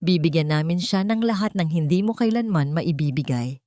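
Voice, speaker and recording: A woman's voice: low-pitched, animated, moderate pace, warm tone, no room sound, clean studio sound. A woman voicing a haughty, wealthy older mother-in-law in an audio story, scornful and commanding. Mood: scornful, demanding.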